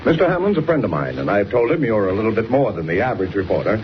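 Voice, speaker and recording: An actor playing a brisk, gruff middle-aged newspaper editor in a 1940s radio drama. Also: male voice, fairly low pitch, very animated. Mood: businesslike. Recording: noisy, muffled 1940s radio serial recording.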